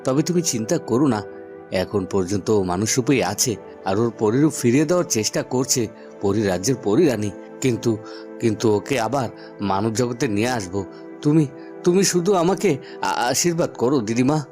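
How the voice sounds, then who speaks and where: An earnest young cartoon prince voiced by a man, mid-pitched and devoted. His delivery halts and repeats for dramatic weight, with music underneath. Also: animated, darkish tone, close to an everyday voice. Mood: earnest resolve. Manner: halting, repeats words, earnest.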